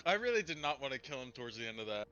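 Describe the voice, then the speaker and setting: A man who plays in a tabletop game, swearing freely and excitable as he chats after the session. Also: mid-range voice, rapid-fire, highs cut off. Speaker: player. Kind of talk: casual post-session chat.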